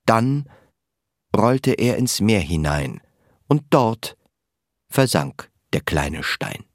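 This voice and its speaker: A man reading a light-hearted rhyming poem from an audiobook in a measured, playful storytelling way. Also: fairly low pitch, sing-song, no echo, studio quality. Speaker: audiobook reader. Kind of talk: poetry reading.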